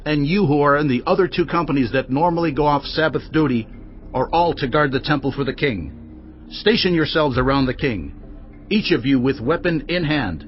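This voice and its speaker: A man's voice: a little high, lively intonation, moderate pace, muffled recording, close to an everyday voice. A man playing a commanding priest. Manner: firm, commanding orders. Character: Jehoiada, a commanding male priest. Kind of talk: dramatized audio Bible.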